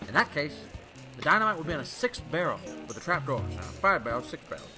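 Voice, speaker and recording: Old time radio male actor as smooth-talking smuggler pilot: breezy, boastful, music underneath. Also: fairly high pitch, big pitch swings, quick pace. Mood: slick, jovial. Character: flirty, boastful smooth-talking bootlegger pilot, adult man. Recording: music bed behind the dialogue.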